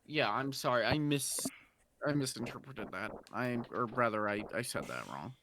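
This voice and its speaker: A man's voice: medium pitch, animated, normal speed, background noise. A man chatting casually as a panelist, repeating and rephrasing himself emphatically as he goes. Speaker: panelist on a fan fiction reading show. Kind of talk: casual group chat. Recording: loud music behind the voice. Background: music.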